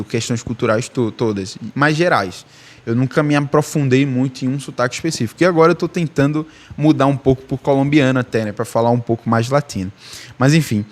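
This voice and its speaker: A young man teaching languages in a casual, chatty way, often asking "tá?" and shifting his tone for emphasis. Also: a little high. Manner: chatty, repeats himself, ends phrases with "tá?"; shifts his tone to show emotion. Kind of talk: casual video lesson.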